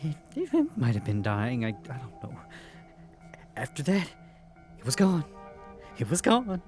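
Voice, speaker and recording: A man voicing a storyteller who recalls a strange creature with halting, stammering speech. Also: medium pitch, wildly swooping pitch, darkish tone, soft background music.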